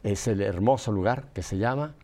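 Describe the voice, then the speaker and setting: A man from Mexico hosting a religious talk show, interviewing guests in an expansive, animated way with playful asides. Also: on the low side, normal speed. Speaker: host of a faith talk show.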